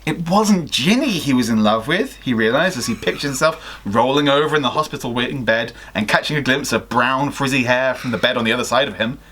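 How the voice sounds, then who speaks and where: A man's voice: mid-range voice, brisk, hardly any pauses, pushed and bright, slight background noise. A young adult man reading a story aloud in a theatrical way, acting out the dialogue. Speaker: guest reader of listener fan fiction. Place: small attic bedroom, indoors.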